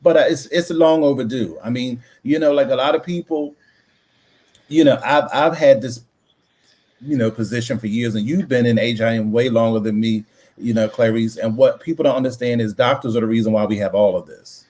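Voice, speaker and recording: A man's voice: medium pitch, a little fast, darkish tone. A man co-hosting a casual live audio chat, emphatic and repeating words as he makes his points.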